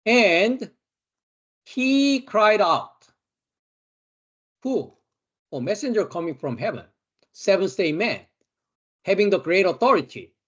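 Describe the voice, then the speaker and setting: A man with a Korean accent, a Bible teacher giving a study lecture, slowly and emphatically repeating key words. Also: high-pitched, big pitch swings, a bit bright, breathy edge, highs cut off.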